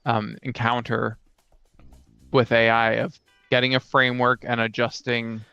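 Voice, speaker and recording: A man's voice: mid-range voice, flat delivery, unhurried, bright, forceful tone, smooth, dry recording, muffled recording, faint music behind. A man in casual conversation as a podcast co-host, explaining things in a matter-of-fact way. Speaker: podcast co-host and game master.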